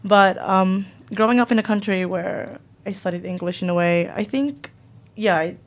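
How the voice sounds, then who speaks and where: A woman's voice: medium pitch, normal speed. A woman from the Philippines on a radio panel, chatting casually with lots of "like". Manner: casual, many likes. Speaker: student guest.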